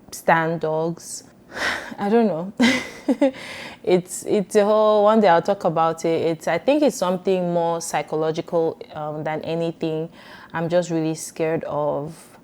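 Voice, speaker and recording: A woman's voice: fairly low pitch, a little mellow. A young Nigerian woman vlogger with a Nigerian accent, chatting casually and rambling through personal questions. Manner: chatty, rambling, laughs often. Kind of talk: casual get-to-know-me chat.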